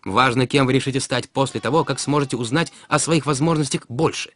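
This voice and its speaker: A man reading a scripted educational explainer in a measured, instructive way, as a narrator for an animated film. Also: medium pitch. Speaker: narrator of an educational cartoon about personal finance. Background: background music.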